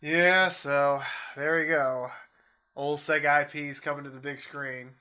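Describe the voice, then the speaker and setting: A man's voice: a little high, steady tone, measured pace, bright, forceful tone. A man co-hosting a gaming podcast in casual chat, tossing topics and questions to his partner. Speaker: podcast co-host.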